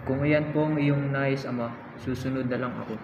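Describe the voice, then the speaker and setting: Man acting as earnest son, flat and echoey. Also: medium pitch, normal speed, close to an everyday voice. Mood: resigned, earnest. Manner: formal, polite, even recitation. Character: dutiful, earnest grown son returned home. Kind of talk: amateur radio drama acting. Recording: echoey hall, dull sound, clear background noise.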